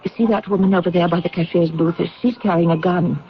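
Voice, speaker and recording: A woman's voice: fairly low pitch, steady tone, quick pace, a little hiss or hum, natural voice. A woman acting in a vintage radio drama as an anxious, determined figure in a spy mystery, earnest and intense. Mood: frightened. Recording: muffled old radio broadcast.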